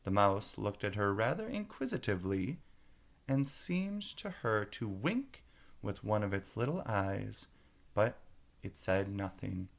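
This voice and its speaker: A man reading a children's story aloud as narrator, in a measured, expressive way. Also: lowish voice, creaky.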